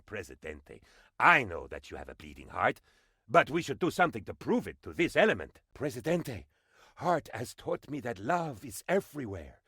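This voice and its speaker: An actor playing an excitable, fawning presidential advisor on a tropical island in a video game, with a theatrical, melodramatic, flattering manner. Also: male voice, medium pitch, normal speed, breathy edge, no room sound, studio quality, heavily acted. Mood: earnest, advising. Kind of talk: animated video game voice lines.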